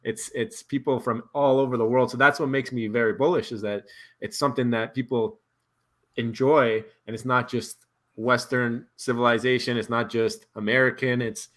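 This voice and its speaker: A man hosting a casual video call chat, relaxed and rambling, laughing easily. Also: mid-range voice, normal speed. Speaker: host.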